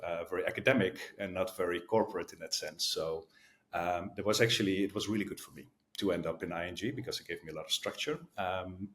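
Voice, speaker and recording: Deep-voiced man with Dutch accent. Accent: Dutch with some American. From Netherlands. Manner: relaxed, thinks aloud with fillers. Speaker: guest, sales leader. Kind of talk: conversational interview answers.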